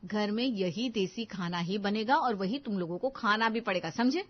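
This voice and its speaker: A woman voicing a stubborn, argumentative young mother and housewife in an animated family story. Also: mid-range voice, moderate pace, muffled recording, natural voice. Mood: indignant scolding. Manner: argumentative, insistent.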